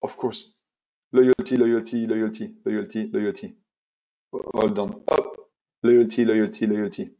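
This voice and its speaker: A middle-aged man presents a business webinar over slides. His voice is mellow and a little dull, as through muffled webinar audio. He repeats key words for emphasis and often restarts his sentences. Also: on the low side, little pitch movement, slow. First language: French. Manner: repetitive, emphatic, with frequent fillers and restarts. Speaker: webinar presenter, marketing consultant.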